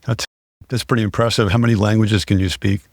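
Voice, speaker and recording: A man hosting a podcast, chatting casually and asking his guest relaxed questions. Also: lowish voice, a little fast, dry recording, clean studio sound. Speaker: podcast host.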